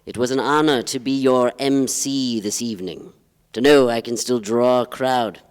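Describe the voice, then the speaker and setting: An actor playing a pompous, wistful poetry-reading emcee, speaking in a solemn, florid way on a theatre stage. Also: male voice, medium pitch, moderate pace, clear, resonant tone, lightly acted. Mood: pompous, courtly. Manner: pompous, ponderous. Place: theatre stage, live performance. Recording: reverberant live theatre hall.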